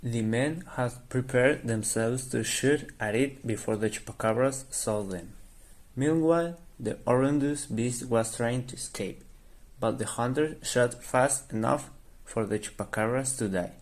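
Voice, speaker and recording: A man's voice: medium pitch, a little slow. A man reading scripted story narration as the narrator of an amateur radio drama.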